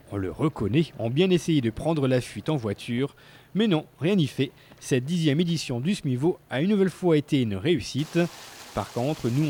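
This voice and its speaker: A man's voice: on the high side, dark tone, husky. A man narrating a scripted local TV report in a playful, tongue-in-cheek manner.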